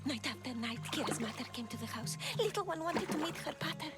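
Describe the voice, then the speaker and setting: An actress playing an older woman servant who speaks cryptically, telling old secrets, over loud game music. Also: sits high, lively intonation, a little fast. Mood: grave. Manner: cryptic, storytelling.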